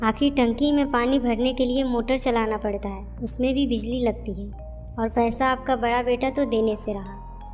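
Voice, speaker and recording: A woman's voice: on the high side, normal speed, darkish tone, close to an everyday voice. A woman voicing a bitter, sarcastic young daughter-in-law who scolds her in-laws in a cartoon family story, with music behind her. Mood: scolding, sarcastic. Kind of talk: Hindi moral-story cartoon dialogue.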